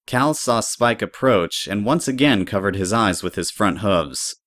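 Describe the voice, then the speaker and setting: A woman's voice: extremely low pitch, lively intonation, unhurried, dry recording, studio quality. A woman narrator reading scripted story prose for an audio drama.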